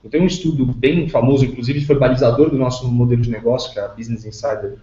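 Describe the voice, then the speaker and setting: A man's voice: mid-range voice, fairly even intonation, fast, background noise. A man with a Brazilian accent giving an explanatory business answer as a panelist on a conference call. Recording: dull, echoey conference call audio.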